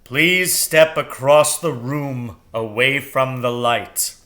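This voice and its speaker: Man voicing a slow, booming, imperious owl. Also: a bit bright. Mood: stern. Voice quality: deep, round, sonorous. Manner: formal, slow, deliberate. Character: grave, imperious old male owl with sonorous voice.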